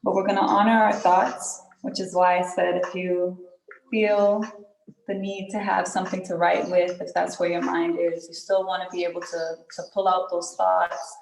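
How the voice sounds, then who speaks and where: A woman leading a guided group meditation in an unhurried, soothing way, pausing often between phrases. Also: on the low side, little pitch movement, creaky. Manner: unhurried, soothing instruction with pauses and check-in tags. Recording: roomy echo with music behind.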